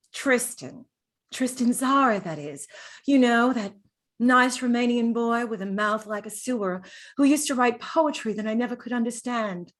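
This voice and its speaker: An actress playing a gentle, girlish, chatty dead woman of thirty-four in a confiding, nostalgic stage monologue. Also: a little high, close to an everyday voice. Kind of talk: dramatic monologue, stage-style acting.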